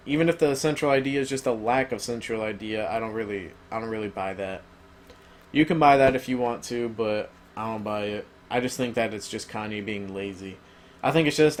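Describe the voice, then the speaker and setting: A man talks through an opinionated review in a loose, rambling way, stopping often for ums and uhs. His voice is wearing thin and going hoarse, pitched at an ordinary middle level. A faint hiss of noise sits under the recording. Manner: rambling, filler-heavy, opinionated. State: losing his voice. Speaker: album reviewer. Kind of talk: casual spoken review.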